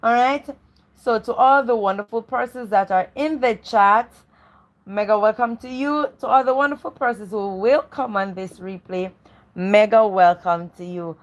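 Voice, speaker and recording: A woman with a medium-pitched voice presents as co-host of a live read-aloud. She addresses viewers directly in a chatty, welcoming style, often repeating her linking phrases. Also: moderate pace, muffled recording.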